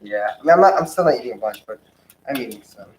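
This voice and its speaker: A man's voice: mid-range voice, animated, quick pace. Joking young man in an echoey, noisy studio. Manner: joking, loose, laughs often. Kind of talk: casual chat. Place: hot studio. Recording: echoey room with loud noise.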